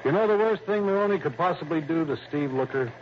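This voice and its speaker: An American actor playing a gruff, suspicious but protective police lieutenant in a 1960s radio drama, insistent and familiar. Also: male voice, fairly high pitch, animated, fast, natural voice. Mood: suspicious, earnest. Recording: dull, hissy old radio recording.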